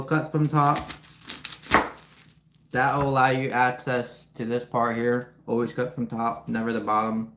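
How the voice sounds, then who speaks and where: A man from Ukraine live-streaming from his home kitchen on a phone, chatting casually with viewers and explaining each cooking step as he goes. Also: mid-range voice. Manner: chatty, greets viewers and explains steps while chopping. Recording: roomy kitchen with background noise.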